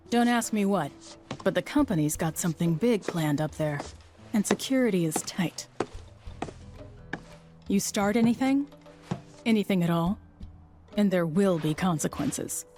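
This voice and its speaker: A woman's voice: lowish voice, warm tone. An actress playing a guarded but hospitable small-town woman in a video game, speaking in a frank, firm way. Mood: stern warning. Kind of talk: video game cutscene voice acting.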